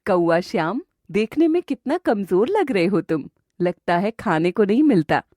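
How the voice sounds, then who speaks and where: A woman voicing a sly fairy in an animated moral story, coaxing and composed. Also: mid-range voice, moderate pace, no echo, clean studio sound. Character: sly fairy sweetly luring forest animals.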